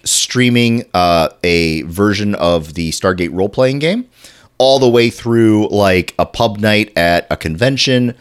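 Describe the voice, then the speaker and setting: A podcast host with a stuffy chest cold, chatting casually, rambling and restarting his sentences and clearing his throat often. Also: deep voice.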